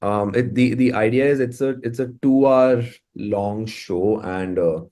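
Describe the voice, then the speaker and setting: Low, mellow Indian man chatting informally. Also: measured pace. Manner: informal, stammering repetition of words as thoughts form. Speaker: musician and music producer being interviewed. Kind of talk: casual interview chat.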